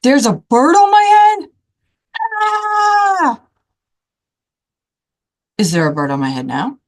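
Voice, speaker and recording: A fussy, worried male elephant from a picture book, played by a woman. He frets and questions everything in disbelief. His pitch swings widely, dipping and leaping as he complains. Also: sing-song, takes it slowly, full character voice. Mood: alarmed, incredulous. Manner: fretful, incredulous questioning.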